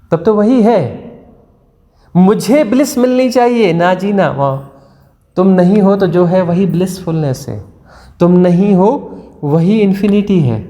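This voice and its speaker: Male spiritual teacher, higher voice, mellow tone, repetitive discourse in a reverberant hall. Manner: repetitive, mimics inner self-talk, rhetorical questions. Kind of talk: satsang discourse.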